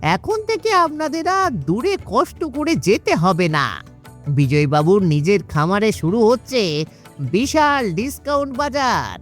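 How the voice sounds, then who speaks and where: Woman as a crafty cartoon sidekick man, fawning, theatrical, extremely animated pitch, slow delivery. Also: soft background music. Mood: proclaiming. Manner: obsequious, exaggerated, theatrical. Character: fawning, crafty male henchman of a village boss. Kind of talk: animated moral story voice acting.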